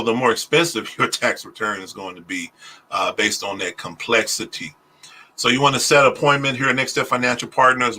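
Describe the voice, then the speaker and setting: A man who is a pastor and a tax preparer, giving a casual livestream talk on taxes. He speaks slowly and deliberately, with many "uh" fillers. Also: mid-range voice, bright, forceful tone. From Oklahoma, US. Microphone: phone.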